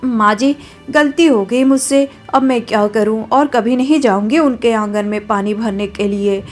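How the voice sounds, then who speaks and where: Woman doing a soft, deferential young bride for a storybook cartoon, fairly high voice. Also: unhurried, faint music behind, close to an everyday voice. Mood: polite. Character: young, dutiful newlywed village bride.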